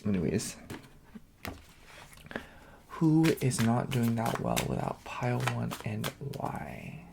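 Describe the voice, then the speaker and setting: A man's voice: medium pitch, very animated, slow pace, gravelly, noisy background. A man giving a casual tarot reading, rambling with lots of fillers, his voice sniffly and nasal. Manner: chatty, rambling, heavy on fillers. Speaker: tarot reader.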